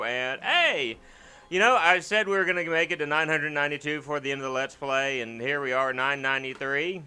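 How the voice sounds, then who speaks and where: Male gaming host, very bright and clear, casual joking rambler. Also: fairly high pitch. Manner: rambling, joking, repeats words. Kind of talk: casual let's play commentary.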